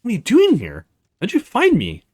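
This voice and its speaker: A man voicing a kind, self-effacing young witch woman in a visual novel game, softly reassuring. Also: sits high, wildly swooping pitch, normal speed, husky, voice pushed up, heavily acted. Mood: surprised.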